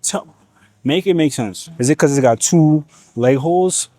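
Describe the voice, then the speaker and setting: A man's voice: fairly high pitch, normal speed, dark tone, dry recording. A man from Nigeria whose first language is Igbo, rambling casually in a gym vlog with slang and swearing. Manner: slangy, profane, rambling asides. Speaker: fitness vlogger.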